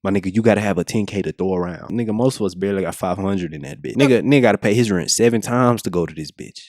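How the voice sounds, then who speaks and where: A man's voice: on the low side, rapid-fire, a little mellow, no room sound, studio quality. An American man giving casual, unscripted reaction commentary in a slangy style full of swearing. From United States. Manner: slangy, heavy swearing, repeats checking phrases. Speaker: reaction video host.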